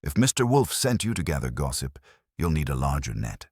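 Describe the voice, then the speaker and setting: A man plays a weary society doctor in a mystery audiobook. He keeps his extremely deep voice quiet and guarded. The sound is dry and close. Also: very animated, a little fast, husky, no room sound, heavily acted. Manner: quiet, terse, warning.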